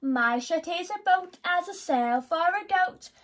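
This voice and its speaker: A kind and proud storybook giant man, played by a woman, in a very high, bright voice. He is cheerful and sing-song. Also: animated, unhurried, full character voice.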